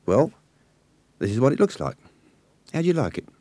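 A man voicing a brash young Cockney working girl, talking bluntly and loudly in a Cockney accent. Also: medium pitch, very animated, moderate pace, no room sound, pitched above the performer's own voice. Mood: gentle, teasing. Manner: blunt, loud and scolding.

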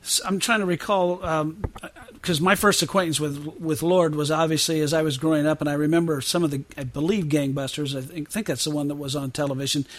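A man with a slightly high voice hosts a conversational interview. He feels his way into his questions with halting, tentative phrasing. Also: steady tone. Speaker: podcast interviewer, host.

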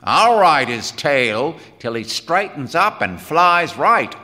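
A man voicing a gruff, hard-nosed, angry father in an audiobook, with blunt, folksy, forceful talk. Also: sits high, very animated, slow, big-room sound, pitched above the performer's own voice, heavily acted. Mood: grim. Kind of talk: audiobook character dialogue.

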